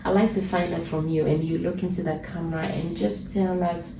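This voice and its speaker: A woman's voice: on the low side, fairly even intonation, brisk, warm tone. A woman hosting an interview, asking questions and backing the guest with frequent listening sounds. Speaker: podcast host, interviewer. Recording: roomy sound, distant mic, faint noise.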